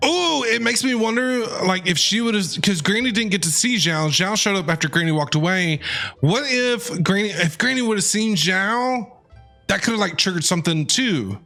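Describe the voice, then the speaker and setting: A woman streamer reacting casually to a game as she plays. Her voice sits a little low, chatty and informal, with faint music behind it. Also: fairly bright tone. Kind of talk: casual live gameplay reaction commentary.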